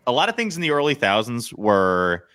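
A man's voice: mid-range voice, sing-song, brisk, bright, forceful tone, smooth, dry recording, studio quality. An American man in his thirties talking casually and with opinions, like a sports podcast co-host. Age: 30s.

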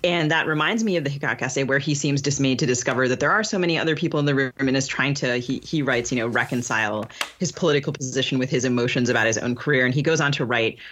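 A podcast host in a literary discussion, speaking thoughtfully and haltingly, searching for words. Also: mid-range voice, a bit bright. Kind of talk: literary discussion with reading from an essay. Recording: dull, lo-fi sound.

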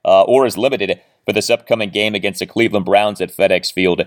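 A man hosting a sports podcast, delivering commentary and stat recaps in a measured, emphatic announcer-like way. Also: on the low side, steady tone.

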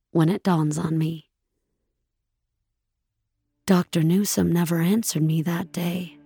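An actress playing a haunted, solemn poet aboard a starship, narrating her story in a measured, lyrical, deliberate way. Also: mid-range voice, vocal fry, darkish tone. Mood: dawning realization. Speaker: narrator and lead character of an audio drama. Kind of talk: dramatic first-person scripted narration. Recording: dry studio with music behind.

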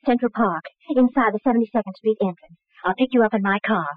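A woman's voice: fairly high pitch, fast talker, no echo, natural voice. Haughty young widow, female radio actor, guarded and clipped, 1940s crime serial, thin band-limited sound. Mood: urgent, tense. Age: young adult. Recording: dry, tinny old radio recording.

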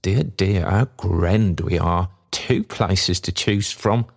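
A man's voice: deep voice, slow pace, gravelly, breathy edge, exaggerated voice. A man voicing a cheerful, doting young working-class daughter in a storybook, with eager, affectionate chatter. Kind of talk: storybook character dialogue.

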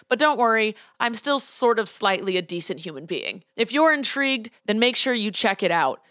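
Bright, upbeat woman, dry studio sound. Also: medium pitch, no room sound. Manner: upbeat, chatty. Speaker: podcast host. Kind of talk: scripted podcast promo, conversational.

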